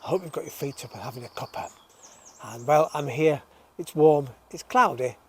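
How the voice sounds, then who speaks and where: A chatty man whose voice sits a touch high and is still croaky from a recent illness. He talks casually to his viewers about his allotment, reading out their comments in a friendly way. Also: moderate pace, fairly bright tone. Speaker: host of an allotment gardening show. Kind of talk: casual chat to camera. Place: outdoors on an allotment, birdsong around.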